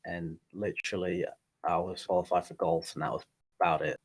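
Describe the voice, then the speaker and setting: A man in his thirties from England, a golf coach, chatting casually as a podcast guest in a laid-back, halting way. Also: deep voice, level tone, husky. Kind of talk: casual interview chat.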